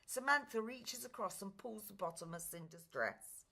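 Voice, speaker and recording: A woman's voice: medium pitch, lively intonation, normal speed, creaky, sharp tone. A woman reading scripted narration and stage directions for a play.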